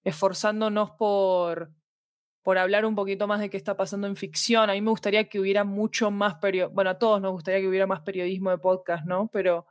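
A woman's voice: medium pitch, little pitch movement, fast, dry recording. Woman with a close, dry sound. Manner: thoughtful, hedging, self-qualifying. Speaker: podcast guest, fiction editorial manager. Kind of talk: casual interview conversation.

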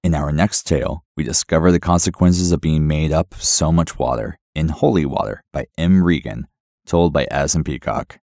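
A man's voice: rumbling low, normal speed, darkish tone, no echo, studio quality. A man presenting a horror anthology with a scripted introduction to a story.

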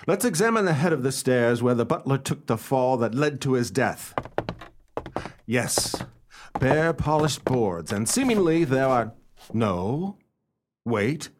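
Gentleman detective for radio drama, male actor: inquisitive, self-assured, theatrical, very animated intonation, mid-pitched. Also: noisy background. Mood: intrigued, self-satisfied. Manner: formal, deliberate, theatrical delivery. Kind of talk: radio play acting with first-person narration.

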